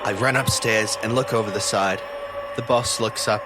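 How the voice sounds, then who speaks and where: Man acting a low-voiced haunted seaman over loud sea noise. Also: fairly even intonation, lightly acted. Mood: pleading, shaken. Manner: halting, earnest, stammering when pressed. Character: haunted male fisheries officer recounting a ghost-ship encounter. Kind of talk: first-person audio drama narration with dialogue. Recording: loud boat and sea ambience behind.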